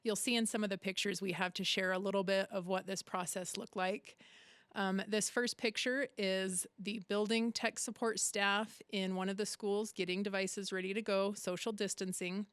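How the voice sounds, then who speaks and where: A woman's voice: medium pitch, fairly even intonation, moderate pace, a bit bright, clear, resonant tone, no room sound. A woman speaking as a school district technology officer, giving a prepared update to the community.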